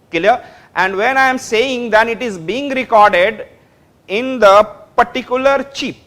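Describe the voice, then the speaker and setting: High-pitched Indian English man lecturing. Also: a bit bright. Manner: repetitive, explains step by step, checks understanding. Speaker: teacher. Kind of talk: classroom lecture. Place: classroom.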